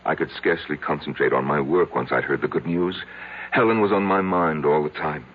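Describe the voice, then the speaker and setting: An actor in a radio drama playing a lonely, self-loathing middle-aged man who confesses haltingly to his psychiatrist. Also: a bass voice. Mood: anguished. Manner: halting, hesitant, repeating himself. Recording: tinny, band-limited old radio broadcast.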